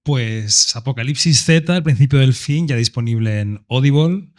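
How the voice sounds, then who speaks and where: A man's voice: mid-range voice, normal speed. A man makes announcements as the moderator of a presentation event.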